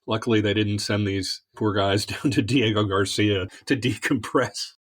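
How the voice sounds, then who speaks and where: A man with a fairly low voice speaks as a podcast host. He sounds measured and thoughtful, setting out his points conversationally before he puts a question to a guest. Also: fairly even intonation. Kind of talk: conversational interview.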